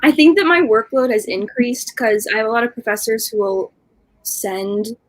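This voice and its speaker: A woman's voice: on the high side, animated, moderate pace. A young woman from Pennsylvania, a college student on a panel, speaking in an articulate and candid way. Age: young adult.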